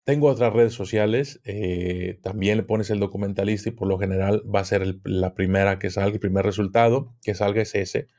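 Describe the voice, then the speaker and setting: A man's voice: on the low side, fairly even intonation, a little fast, few pauses, a little mellow. A man, a guest on a podcast, easygoing and hesitant, talking with plenty of fillers. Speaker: guest, occult video creator. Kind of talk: casual podcast interview.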